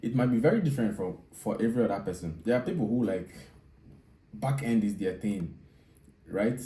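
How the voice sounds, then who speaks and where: Low-pitched man, thirties, emphatic advice monologue, repeats key points, echoey room, distant mic. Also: lively intonation, brisk, dark tone. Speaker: video host, software developer. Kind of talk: direct-to-viewer advice monologue. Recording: roomy echo, distant mic.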